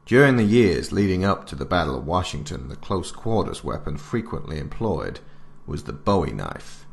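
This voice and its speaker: A man playing a stern military handbook narrator, reading scripted instructions in a grave, measured, formal and authoritative way. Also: very deep voice, creaky, a bit bright, heavily acted. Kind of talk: scripted narration of an alternate-history field manual.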